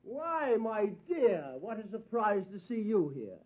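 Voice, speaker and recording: Casting: a pompous, wounded-dignity husband, highish voice, muffled vintage radio play. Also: male voice, very animated, unhurried, slight background noise. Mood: suave. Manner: florid, mock-dignified. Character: pompous, suddenly sober, oily henpecked husband. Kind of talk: old-time radio acting. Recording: dull vintage radio drama recording.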